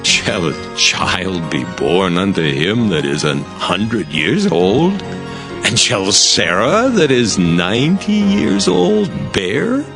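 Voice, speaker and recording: An actor playing a very old, humble and earnest patriarch in a dramatized scripture reading, with a pleading delivery. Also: male voice, lowish voice, sing-song, slow pace, creaky, over music, exaggerated voice. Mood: incredulous.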